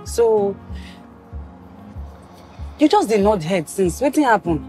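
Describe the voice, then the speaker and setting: An actress with a Nigerian Pidgin accent playing a blunt, talkative shopkeeper who keeps questioning, in a film drama. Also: female voice, mid-range voice, big pitch swings, takes it slowly, dark tone, faint music behind. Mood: chatty, questioning. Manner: questioning, chatty.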